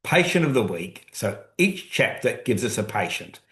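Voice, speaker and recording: Male author, mid pitch, explanatory webinar talk, roomy echo. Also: big pitch swings, moderate pace, fairly bright tone. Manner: explanatory, thinks aloud with restarts. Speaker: co-author guest speaker.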